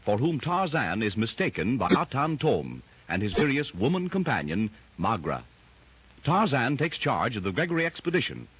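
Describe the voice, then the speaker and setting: A male announcer giving measured, scripted recap narration for a 1930s radio serial, on a muffled old recording. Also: medium pitch, a bit bright, breathy edge.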